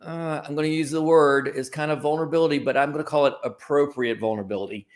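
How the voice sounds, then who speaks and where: A man's voice: fairly high pitch, steady tone, fast talker. Informal, discursive man in an interview. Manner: informal, discursive, self-correcting. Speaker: guest, creative company founder. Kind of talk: conversational interview answers.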